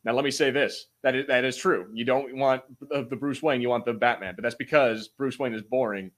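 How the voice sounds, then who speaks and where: A man with a mid-pitched voice chats as a podcast co-host. He is informal and a bit halting, loose with swearing, and sounds like he is talking off the cuff. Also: fairly even intonation, a bit bright. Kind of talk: casual chat, reads out viewer comments.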